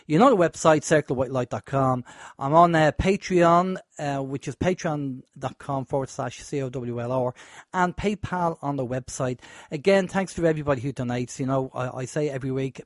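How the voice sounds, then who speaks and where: A man hosting a radio show, chatty and rambling, a bit hoarse from a sore throat. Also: mid-range voice, no echo, studio quality. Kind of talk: casual radio talk.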